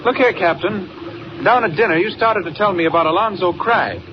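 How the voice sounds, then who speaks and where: A man's voice: sits high, brisk, natural voice. An actor playing an eager, inquisitive male newspaper reporter in 1940s radio style. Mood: curious, probing. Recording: muffled, very noisy 1940 radio recording.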